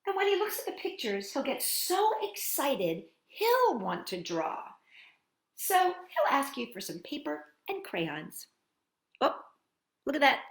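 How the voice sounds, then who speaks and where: A woman reads to young children with a bright-spirited, playful manner, her high voice swooping widely. She takes her time, slipping in chatty asides to her listeners as a storytime host does. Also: very animated, unhurried, echoey room. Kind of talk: picture-book read-aloud for young children.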